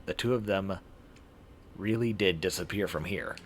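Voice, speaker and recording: A man voicing a calm, condescending visual novel character who speaks in a measured, patronizing way. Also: deep voice, pitched below the performer's own voice, natural voice. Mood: insistent.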